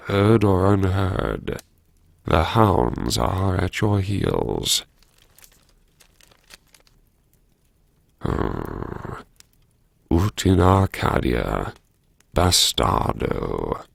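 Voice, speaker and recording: A man performing an audiobook monologue as a wry, haunted university vice-chancellor, brooding and talking to himself in halting phrases. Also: low-pitched, big pitch swings, gravelly, no echo, studio quality, full character voice. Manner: brooding, wry, halting self-address with sighs. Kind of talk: dramatic first-person interior monologue.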